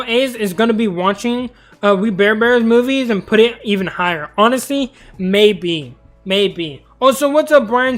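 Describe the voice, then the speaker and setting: A young man streaming and chatting casually about cartoons in a rambling, talkative way. He is tired and losing his voice. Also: high voice. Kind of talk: casual live-stream commentary.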